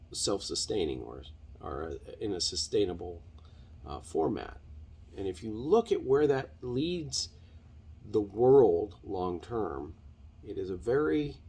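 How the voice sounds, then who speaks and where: A man hosting a podcast, giving an unscripted solo monologue in halting, deliberate, earnest phrasing. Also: fairly low pitch, vocal fry, a little mellow, husky. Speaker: podcast host.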